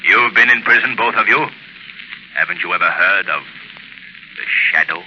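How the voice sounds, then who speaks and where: A menacing, unseen crime avenger acted by a man in a vintage radio thriller. He speaks low, measured and commanding, over a muffled broadcast with faint noise. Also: natural voice. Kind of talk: 1930s radio drama acting. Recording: muffled, slightly noisy 1930s radio broadcast.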